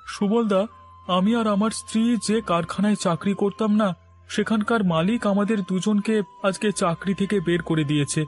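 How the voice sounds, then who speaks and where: A man's voice: sits high, natural voice. A man voicing a humble married neighbour in a moral-story cartoon, with a deferential, pleading manner.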